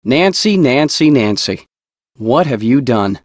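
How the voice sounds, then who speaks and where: An actor playing a cocky, laid-back woodcarver in a mystery game, with a flippant, sarcastic, slangy way of talking. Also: male voice, medium pitch, very animated, slow, no room sound, clean studio sound. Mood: mock disappointment.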